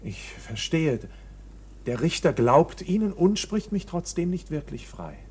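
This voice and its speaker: Bewildered accused man, radio drama, very husky, wildly varying pitch, agitated, halting, indignant. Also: big pitch swings, darkish tone, exaggerated voice. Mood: anxious, questioning. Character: anxious, bewildered accused man, protesting his innocence, indignant. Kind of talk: German radio play acting.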